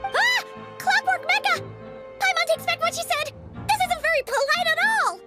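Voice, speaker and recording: A woman voicing a tiny, excitable, boastful female fairy companion in a video game, with childlike, squeaky chatter. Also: moderate pace, over loud music. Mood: alarmed. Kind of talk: video game cutscene acting.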